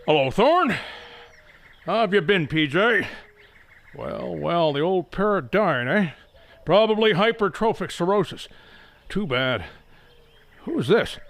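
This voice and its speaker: A man's voice: sits high, expressive, takes it slowly, creaky, long pauses, fairly bright tone, dry recording, exaggerated voice. A man playing a fussy, pedantic middle-aged professor in an audio drama. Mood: breezy, pedantic. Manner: chatty, pedantic. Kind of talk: audio drama acting of a 1930s mystery.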